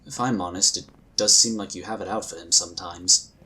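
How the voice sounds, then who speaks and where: A man playing a calm, diplomatic male inquisitor who talks in a measured, courteous way. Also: sits low. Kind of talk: fan audio drama acting.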